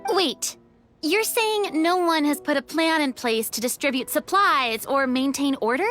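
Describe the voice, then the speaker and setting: Very high, lively, cheeky young adventurer. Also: female voice, measured pace, soft background music. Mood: earnest, curious. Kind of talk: video game character acting.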